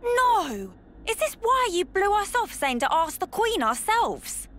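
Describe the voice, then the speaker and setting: A woman voicing a cheeky, outspoken young soldier who talks in quick slang. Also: extremely high pitch, very animated, fairly bright tone, heavily acted. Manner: chatty, slangy, quick-tongued.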